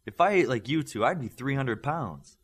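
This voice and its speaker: A clever teenage superhero girl from an audiobook, fussy and a little reluctant, as a male reader puts her on. The delivery has a lively, moving pitch. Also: normal speed, husky. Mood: self-assured, fussy.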